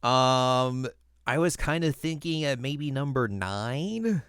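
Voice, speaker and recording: A man's voice: medium pitch, expressive, takes it slowly, fairly bright tone, clear, resonant tone, dry recording, clean studio sound. A man co-hosting a podcast in casual conversation, informal and wry, repeating words for emphasis. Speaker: podcast co-host. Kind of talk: casual chat, film ranking discussion.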